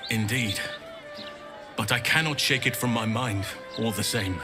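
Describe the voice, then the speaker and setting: An actor playing a gentle, earnest, world-weary druid in a fantasy video game, speaking in a grave, measured, reflective way. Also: male voice, mid-range voice, natural voice. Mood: self-reproachful. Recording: loud music behind.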